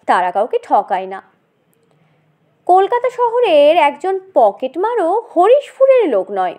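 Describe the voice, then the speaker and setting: High-pitched, animated woman storyteller. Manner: dramatic, conversational, addresses listener directly. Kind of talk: animated comic audiobook narration.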